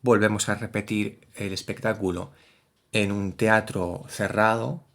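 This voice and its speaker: Playful, animated man co-hosting a podcast. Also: on the low side, measured pace, vocal fry. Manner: animated, playful, exclaims often. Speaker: podcast co-host, theatre director. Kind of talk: casual chat.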